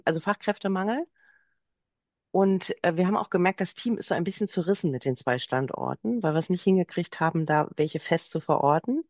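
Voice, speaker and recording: A woman's voice: fairly low pitch, darkish tone, no echo. Woman in her 50s from germany, guest on a podcast, talks on and on about her practice, dry dull sound. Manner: chatty, explains at length. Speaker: guest, occupational therapist and practice owner. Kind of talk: podcast interview.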